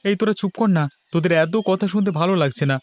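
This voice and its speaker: Man doing a high-voiced touchy village boy. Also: very fast, faint music behind, lightly acted. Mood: irritated. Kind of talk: cartoon story dialogue.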